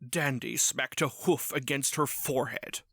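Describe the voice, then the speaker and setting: A woman's voice: rumbling low, fairly even intonation, measured pace, heavy background noise. A woman reading scripted storybook narration.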